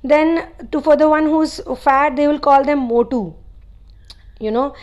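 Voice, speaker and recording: Nepali-born woman, spiritual channeler; casual rambling delivery, fillers, slightly high pitch. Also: measured pace, darkish tone, lo-fi sound. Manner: chatty, rambling, many "you know" asides. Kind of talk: casual spiritual talk to viewers.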